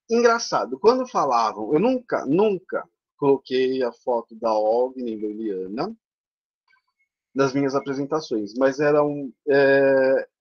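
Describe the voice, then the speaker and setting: Chatty Brazilian man on a muffled call. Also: medium pitch. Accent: Brazilian Portuguese. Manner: chatty, peppered with tag questions. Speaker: theatre teacher for older adults. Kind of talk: conference presentation over slides. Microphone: video call. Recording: dull lo-fi online call audio.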